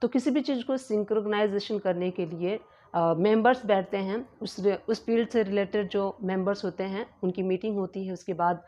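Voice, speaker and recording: A woman explaining tax news in a casual, hesitant lesson, pausing with fillers and repeating herself. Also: mid-range voice, dark tone. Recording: slightly dull lo-fi home recording.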